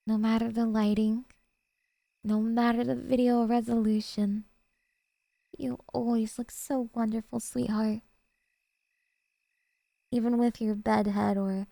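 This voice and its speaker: A woman playing a sweet, possessive, obsessive girlfriend in an ASMR roleplay, speaking softly and dotingly with a breathy intimacy. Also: medium pitch, no room sound.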